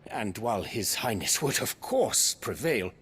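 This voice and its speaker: An actor playing a cautious court counsellor in a fantasy game, formal, diplomatic and deferential, with a husky voice. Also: male voice, fairly low pitch, sing-song, unhurried, a bit bright, heavily acted. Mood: careful deference. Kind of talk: fantasy video game cutscene acting.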